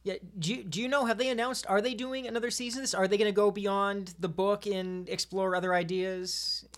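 A podcast speaker in a casual discussion, halting and thoughtful, often rephrasing. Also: medium pitch, fairly even intonation, a bit bright. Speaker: podcast participant. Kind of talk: casual discussion and critique of fiction.

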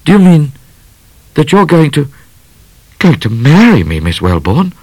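A man voicing a bashful, gallant bushranger in a storybook, earnest and excitable in his speech. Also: mid-range voice, normal speed, husky, dry recording, pitched above the performer's own voice. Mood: shy, flustered. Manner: earnest, exclamatory.

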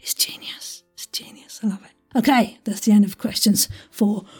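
A woman's voice: medium pitch, steady tone, normal speed, slightly rough, whispered, faint music behind. A woman hosting an audio quiz in a chatty, playful style, with a theatrical, jokey delivery full of asides and exclamations. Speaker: quiz host.

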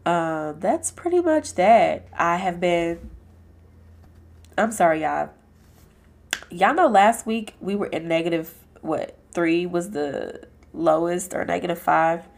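A woman hosting her own podcast, chatting casually and frankly with lots of fillers, her voice hoarse, raspy and nasal from a cold. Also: lowish voice, lively intonation, slow. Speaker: podcast host. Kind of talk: casual solo podcast chat.

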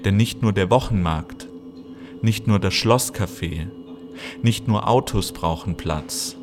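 Male narrator for literary audio piece: lower voice, very unhurried, deliberate phrasing. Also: over music. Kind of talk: scripted literary reading.

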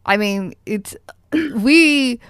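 A woman's voice: on the high side, animated, drawn-out words, fairly bright tone, clean tone, no room sound, clean studio sound. A woman hosting a podcast in a playful, jokey way, with theatrical swings in her voice as she chats. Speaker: podcast host. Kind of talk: casual chat.

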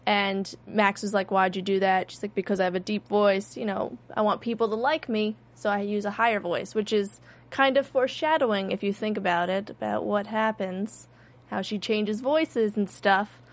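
A woman's voice: mid-range voice, fairly even intonation, normal speed. Chatty woman podcast co-host. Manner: chatty, trailing filler words. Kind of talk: casual podcast chat.

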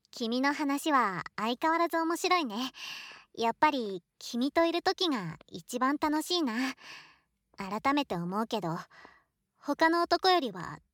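A woman playing a shy, affectionate young student, a boy turned into a girl, talking softly to a close friend in a voice drama. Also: high voice, sing-song, slow pace, no room sound, heavily acted. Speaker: voice actor in a situation voice drama. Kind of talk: Japanese situation voice drama acting, intimate one-sided dialogue.

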